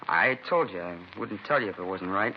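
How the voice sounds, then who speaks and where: A man acts out a sullen American teenage street kid in an old radio drama. He talks fast and slangy, dodging questions in grudging bursts. The recording is dull, with clear hiss and noise. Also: lowish voice, lively intonation, natural voice. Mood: evasive, defensive. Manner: slangy, evasive, grudging short answers. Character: evasive seventeen-year-old male delinquent, streetwise and sullen. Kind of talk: 1950s radio drama acting.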